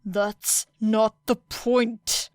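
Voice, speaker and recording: A woman's voice: fairly high pitch, level tone, extremely slow, a little mellow, clean tone, dry recording, clean studio sound. A woman voicing a stern, exasperated man, a hero teacher who speaks in a curt, reproachful way, in an audiobook story.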